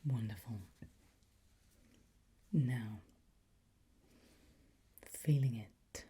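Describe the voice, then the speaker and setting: Very slow, breathy whispering woman. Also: very deep voice, warm tone. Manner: slow, deliberate, drawn-out enunciation. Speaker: ASMR roleplay host as a teacher of demonic Latin incantations. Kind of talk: whispered ASMR teacher roleplay.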